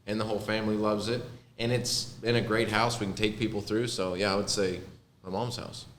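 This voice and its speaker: A man's voice: fairly low pitch, level tone, a little fast, a bit bright, a little hiss or hum. A man with a Texan accent and a nasal voice, co-hosting a casual podcast with dry teasing. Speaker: podcast co-host. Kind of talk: casual chat. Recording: echoey room.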